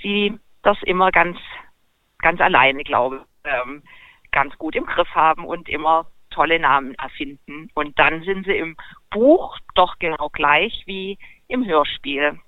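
A man's voice: fairly high pitch, a little slow, dry recording. A man chatting casually as a caller over a telephone. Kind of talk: casual chat. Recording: tinny, dry phone line.

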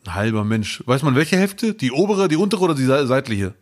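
A man co-hosting a podcast, joking and reacting quickly with exclamations in casual chat. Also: medium pitch, very animated. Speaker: podcast co-host.

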